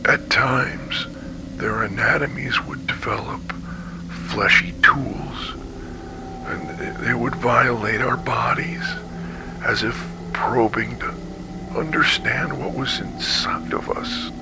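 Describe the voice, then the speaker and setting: Guy doing a haunted survivor in a horror tape, whispery and breathy, real slow and halting. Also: medium pitch, over music. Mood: haunted, grim. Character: traumatized male survivor of a monstrous captivity, haunted and weak. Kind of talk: analog horror found-footage testimony.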